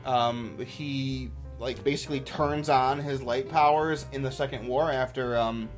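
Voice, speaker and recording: A man's voice: medium pitch, steady tone, a little slow, soft background music. A man giving casual, off-the-cuff commentary as a YouTuber, with an enthusiastic, rambling manner.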